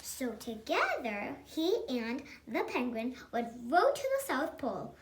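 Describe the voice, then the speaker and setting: High, lively young storybook reader. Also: measured pace. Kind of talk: reading a picture book aloud.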